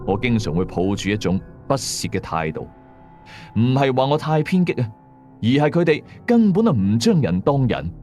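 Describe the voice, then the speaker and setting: Male actor as seasoned exorcist narrator, Hong Kong Cantonese, low and animated, moralizing, conversational asides, scripted radio horror over music. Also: close to an everyday voice. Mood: stern, disdainful. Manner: explanatory, conversational asides to listeners. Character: male veteran ghost exorcist narrating his cases, matter-of-fact, moralizing. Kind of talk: scripted first-person horror storytelling.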